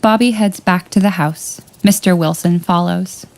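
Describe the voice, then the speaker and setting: A woman's voice: lowish voice, fairly even intonation, normal speed, creaky, darkish tone. A woman reading scripted stage directions as the narrator of an audio drama. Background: music.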